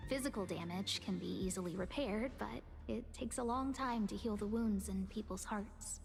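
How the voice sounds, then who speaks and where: A woman's voice: medium pitch, normal speed, vocal fry, close to an everyday voice. Composed young actress as a woman station leader, reverberant. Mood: grave, concerned. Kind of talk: scripted game cutscene acting. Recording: long reverb, music under the voice.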